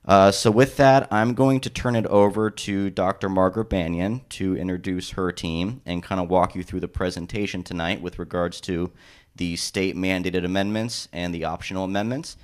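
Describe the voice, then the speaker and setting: Male city planner, very low pitch, formal meeting welcome with filler-heavy delivery. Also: normal speed. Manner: steady, formal, with frequent "uh" and "um". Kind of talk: public meeting welcome remarks. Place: public meeting room.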